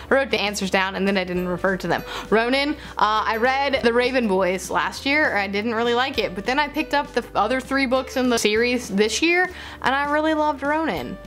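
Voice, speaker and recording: A woman's voice: on the high side, fairly bright tone. A woman chatting casually as a book vlogger, playful and self-interrupting, gushing and repeating words. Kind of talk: casual chatty vlog to camera.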